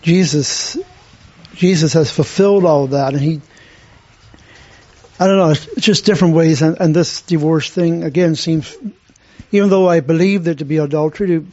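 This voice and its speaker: Man sharing reflections at a church meeting, hesitant and restarting, higher pitch, muffled recording. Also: dark tone. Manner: halting, many restarts and fillers. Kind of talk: unscripted church discussion. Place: room with a group. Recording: dull lo-fi sound.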